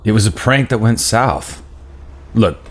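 A man's voice: on the low side, expressive, a little slow. A man in his thirties playing a dismissive, hot-tempered father in a script table read, sarcastic and confrontational. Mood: defensive. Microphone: video call.

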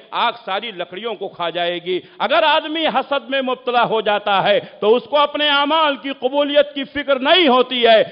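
A man preaching an Islamic sermon, exhorting listeners emphatically with rhetorical questions and repeated phrases. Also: high-pitched. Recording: muffled, long echoing hall reverb.

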